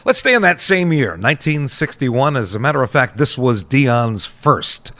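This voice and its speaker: A man's voice: medium pitch, big pitch swings, moderate pace, sharp tone, dry recording. An American man hosting an oldies radio show with casual, upbeat, chatty patter. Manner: upbeat, chatty, chuckles between phrases. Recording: radio aircheck with music behind. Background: guitar and oldies music.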